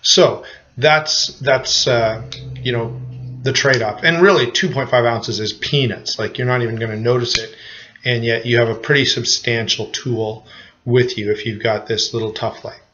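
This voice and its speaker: A man's voice: medium pitch, steady tone, normal speed, pushed and bright, muffled recording. A man with a cold giving a casual, rambling knife review to camera, full of restarts and fillers. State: has a cold.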